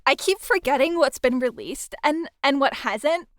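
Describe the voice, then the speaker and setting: Female podcast host, higher voice. Playful, chatty, informal interviewing. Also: lively intonation, moderate pace, no room sound, studio quality. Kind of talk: casual interview chat.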